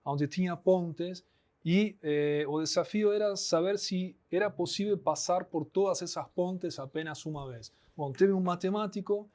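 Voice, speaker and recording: A man with an Argentine Spanish accent, Spanish being his first language, speaks as an interview guest. His voice sits a little higher than most men's. He explains patiently, with small fillers between his thoughts. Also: darkish tone. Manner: explanatory, measured, frequent "né" and "eh" fillers. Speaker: guest, anthropologist. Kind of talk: podcast interview.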